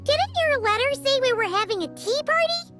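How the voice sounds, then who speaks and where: A woman voicing a tiny, excitable, childlike female companion with a squeaky voice in an anime video game. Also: normal speed, soft background music. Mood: excited, surprised. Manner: exclamatory, refers to herself by name.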